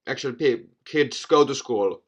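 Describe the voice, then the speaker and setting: A man's voice: medium pitch, moderate pace, breathy edge, lo-fi sound. Theatrical man reading a game aloud. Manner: theatrical, stumbles over written words. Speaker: video game let's player, host. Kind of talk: reading a visual novel aloud with casual commentary.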